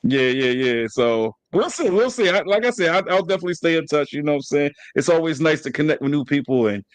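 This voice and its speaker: A man's voice: fast, no room sound. A middle-aged man from New Jersey with an American accent that carries a Southern tinge, hosting a casual interview and rambling with many restarts. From New Jersey, USA. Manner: rambling, restarts a lot, animated pitch jumps. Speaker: podcast host.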